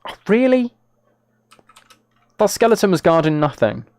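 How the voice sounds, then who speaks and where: A man's voice: high-pitched, sing-song, normal speed, a little mellow, no room sound. A British man chatting casually on a game livestream, talking to viewers in a mock-exasperated, self-deprecating way. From United Kingdom. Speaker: live streamer.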